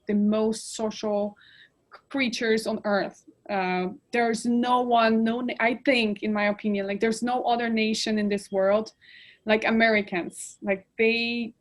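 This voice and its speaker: A woman in her thirties from Poland, speaking English, chatting casually and listing details expressively. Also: a little high. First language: Polish. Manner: expressive, lists details, frequent "like" fillers. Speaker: guest. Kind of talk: casual chat.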